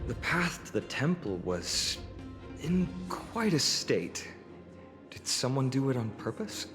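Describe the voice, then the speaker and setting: A man playing a calm, inquisitive traveler in a game, deliberate and questioning in manner. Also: medium pitch, over music. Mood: curious, thoughtful. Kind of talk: dramatic game voice acting.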